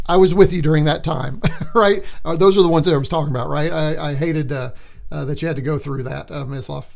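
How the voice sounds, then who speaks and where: Chatty gravelly-voiced man hosting a livestream. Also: fairly high pitch. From born in Germany, military family. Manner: chatty, rambling, many restarts and fillers. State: voice going hoarse. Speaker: livestream host. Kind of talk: casual livestream chat reading viewer comments.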